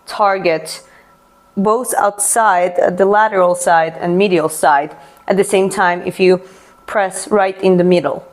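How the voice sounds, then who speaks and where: A woman's voice: lowish voice, steady tone, a little slow. A woman from Georgia whose first language is Russian, chatting casually on a live stream, answering viewer comments and explaining as she stretches, often restarting her words.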